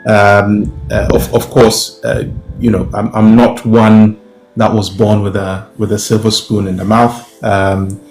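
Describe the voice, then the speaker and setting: A young Ghanaian professor speaking as a guest, careful and measured, with many fillers and restarts. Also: male voice, on the low side, flat delivery, a little mellow. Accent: Ghanaian English. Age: young adult. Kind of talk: interview answers. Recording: roomy with music behind.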